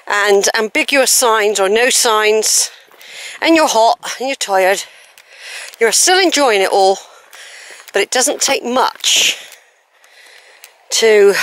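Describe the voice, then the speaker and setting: A woman's voice: medium pitch, fairly bright tone. Lady vlogging on a country walk, wondering aloud which way to go, chatty, a bit sighy. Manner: thinking aloud, musing and questioning. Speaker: hiking vlogger. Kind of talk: chatty talk to camera while walking. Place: outdoors on a hillside countryside trail. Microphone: handheld camera.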